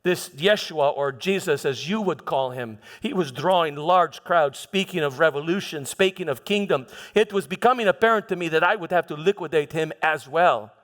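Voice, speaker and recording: Guy acting as a proud roman governor, kinda high voice, halting storyteller, defensive, big echoey hall. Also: expressive, fairly bright tone. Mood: grave, wary. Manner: halting, repeats words, theatrical storytelling. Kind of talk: first-person church dramatic monologue. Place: church, live dramatization. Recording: reverberant hall.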